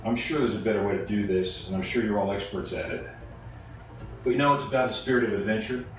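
A man's voice: on the low side, fast. A middle-aged man hosting a home cooking show, talking casually and self-deprecating as he works. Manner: self-deprecating, chatty, mock-nervous asides. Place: home kitchen, indoors. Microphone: far camera on the counter. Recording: roomy kitchen echo, distant mic, music behind.

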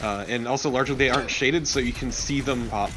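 A man's voice: mid-range voice, quick pace, noisy background. A man co-commentating on a video game in casual, blunt, opinionated chat with some swearing. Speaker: gaming let's play co-commentator.

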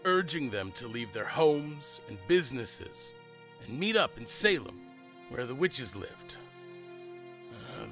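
Man acting a slow, earnest monster dad. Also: medium pitch. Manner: measured, dramatic storytelling with pauses. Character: earnest monster father telling grim family history. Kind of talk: audio drama acting.

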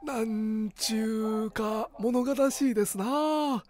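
A man's voice: sits high, takes it slowly, pitched above the performer's own voice. A man playing a chatty, folksy customer with a Kansai accent, musing wistfully.

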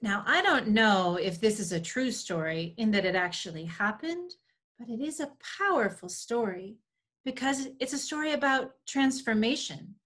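A woman's voice: fairly high pitch, a bit bright. A woman in her forties giving scripted storytelling for children, recorded over a video call from a room at home. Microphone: video call computer mic.

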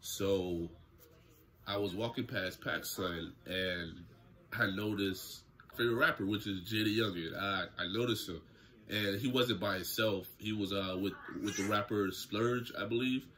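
A young man telling a story to camera in a relaxed, slangy way from a small room at home. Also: lowish voice, measured pace. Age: young adult. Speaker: YouTuber. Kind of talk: casual story time vlog. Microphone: camera close in front, seated.

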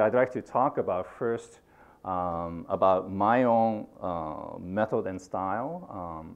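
A middle-aged man from Japan with a Japanese accent, giving a university lecture as a guest filmmaker. He speaks in halting phrases with many fillers and restarts, standing in a lecture room. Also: sits low.

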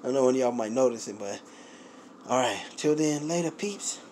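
A man's voice: mid-range voice, animated, normal speed, a little mellow, a little hiss or hum. A young man chatting casually to viewers as a vlogger, recorded on a phone at arm's length in a kitchen. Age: young adult. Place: small home kitchen.

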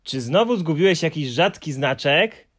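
A man's voice: on the high side, expressive, normal speed, fairly bright tone, voice pushed up. A man voicing a kind, thoughtful young male troll with an earnest, helpful manner in an audiobook. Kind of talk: audiobook character voice.

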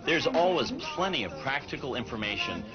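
A man narrates a promotional video in a smooth announcer delivery. His pitch moves up and down a lot. The sound is dull and lo-fi, with music running underneath. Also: sing-song, brisk. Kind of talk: scripted promotional voice-over.

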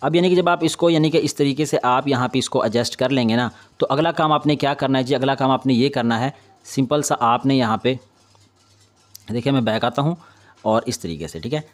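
A man's voice: medium pitch, fairly quick. A man hosting an informal step-by-step screen tutorial, instructing with frequent repeated confirming tags. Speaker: video editing tutorial host.

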